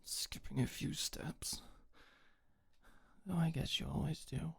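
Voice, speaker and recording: A young woman playing a tough but awkward, secretly tender delinquent girl in an intimate ASMR roleplay, speaking softly and hesitantly. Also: low voice, steady tone, slow, creaky, slightly rough, exaggerated voice. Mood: shy, tender.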